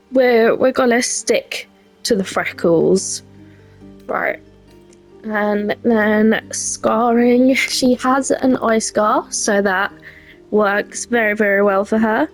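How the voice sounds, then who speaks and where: A woman's voice: on the high side, rough recording. A young woman with a head cold and a congested voice, chatting casually and rambling a little while she makes a game character. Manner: chatty, self-deprecating, rambling with fillers. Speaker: gaming creator. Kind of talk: casual gameplay commentary.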